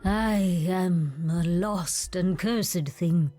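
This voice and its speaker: A woman's voice: mid-range voice, lively intonation, moderate pace, a little mellow, soft background music, natural voice. An actress playing a cursed, blood-hungry female monster spy who speaks in formal, archaic, pleading phrases in a video game. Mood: pleading, sorrowful. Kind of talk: theatrical video game voice acting.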